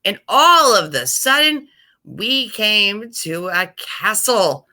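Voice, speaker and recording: Bright, playful woman storyteller. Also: medium pitch, takes it slowly. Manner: playful, rambling, animated. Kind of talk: improvised silly storytelling.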